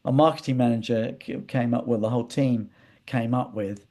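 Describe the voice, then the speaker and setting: Man, interview guest, mellow relaxed tone, medium pitch, hesitant, digressive, conversational storytelling. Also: a little fast. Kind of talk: conversational interview, rambling anecdotes.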